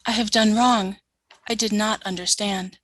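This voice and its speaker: An actress playing a flat, obedient female alien soldier who answers in short, literal replies in a radio play. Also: mid-range voice, measured pace, dry recording.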